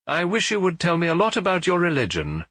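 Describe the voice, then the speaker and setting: A man voicing a mild, shrewd little priest detective with a gentle, frankly doubtful manner in an audiobook. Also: high voice, wildly swooping pitch, fairly quick, no room sound, voice pushed up, full character voice. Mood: gently probing.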